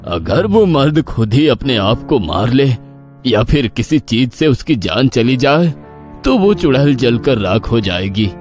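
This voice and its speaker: A man's voice: medium pitch, sing-song, a little slow, darkish tone, voice pushed up. A man voicing a grave old village uncle who tells stories in a horror tale. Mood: grave warning. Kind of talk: horror storybook dialogue. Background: background music.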